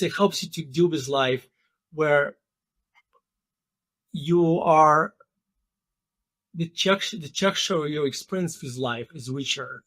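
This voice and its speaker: A man in his fifties, a podcast guest explaining ideas thoughtfully with many pauses and restarts. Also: fairly high pitch, a bit bright, no echo. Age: 50s. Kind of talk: interview conversation.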